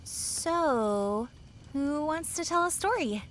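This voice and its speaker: A woman's voice: sits high, lively intonation, slow, slight background noise. A woman playing a bubbly, easily frightened teenage girl counselor, perky and exclamatory, in an audio drama.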